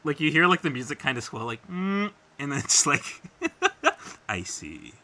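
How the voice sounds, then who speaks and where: A man's voice: a little high, sing-song, unhurried, sharp tone. A man co-hosting a podcast in casual comedic banter, riffing playfully and swearing freely. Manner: crude, profane, playful riffing. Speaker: podcast co-host.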